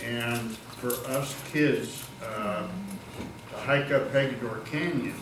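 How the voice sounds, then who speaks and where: This is an older man sharing a story in a folksy, informal way. His voice is loud, yet it comes from some way off in an echoey room. Clear background noise sits under it. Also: mid-range voice, slow, far from the mic. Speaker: audience member sharing a memory. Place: community room at a public panel event.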